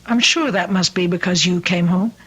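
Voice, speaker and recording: A woman's voice: on the low side, moderate pace, fairly bright tone, breathy edge. An actress playing an anxious, doting middle-aged mother in an old-time radio drama, speaking in an earnest, fretful way. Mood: gentle, probing.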